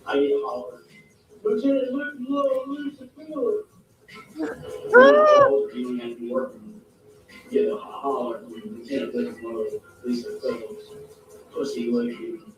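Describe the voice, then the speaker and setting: A woman's voice: on the high side, wildly swooping pitch, a little slow. A woman telling a rambling, emphatic personal story. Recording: echoey distant clip with music.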